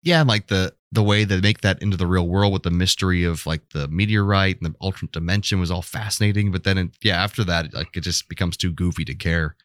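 A man's voice: low voice, fast, hardly any pauses, no echo, clean studio sound. A man co-hosting a podcast, chatting casually about film in an informal way, often leaning on "like". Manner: informal, hedging with frequent "like". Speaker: podcast co-host. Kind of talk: casual film debate chat.

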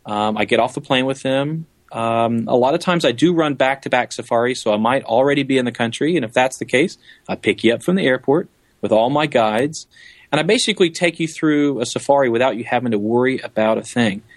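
A man's voice: medium pitch, brisk, no room sound. A man with a mid-range voice talks as an interview guest. He explains things eagerly and conversationally, like a guide who loves the job. The sound is dry, with hardly any room around him. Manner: enthusiastic, explanatory.

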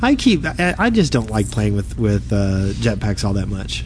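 A man's voice: lowish voice, big pitch swings, normal speed. A man hosting a podcast in casual chat on an indoor convention floor. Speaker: podcast co-host. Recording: background noise of a busy convention floor. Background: convention ambience and noise.

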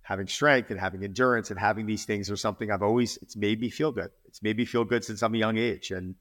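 A man's voice: lowish voice, steady tone, fast talker, fairly bright tone. A man in his forties, a fitness trainer, speaking earnestly in a podcast interview and often repeating words as he makes his point. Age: 40s.

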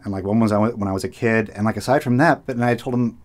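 A man's voice: fairly low pitch, very fast. A middle-aged man from the United States, talking casually in an interview, rambling with restarts, fillers and frequent laughs. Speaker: radio host, interviewee.

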